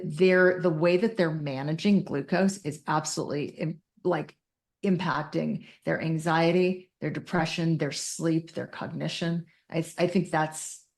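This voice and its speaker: A woman with a low-pitched, gravelly voice full of fry. She talks as a clinician guest, explaining in emphatic detail and mixing technical terms with casual slang. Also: darkish tone. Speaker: podcast guest, mental health clinician. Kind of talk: conversational expert explanation.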